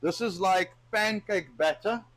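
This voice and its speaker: A man's voice: high-pitched, measured pace, no echo. A man, chatting casually and joking while he bakes on a livestream. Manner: lively, joking, talks while working. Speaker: co-host baking on a livestream.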